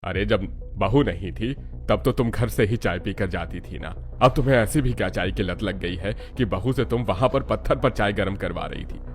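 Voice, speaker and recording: Cartoon role: man as older father-in-law, low, stern, protective, scolding; light music underneath. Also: moderate pace, close to an everyday voice. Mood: angry scolding. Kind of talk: Hindi cartoon story dialogue.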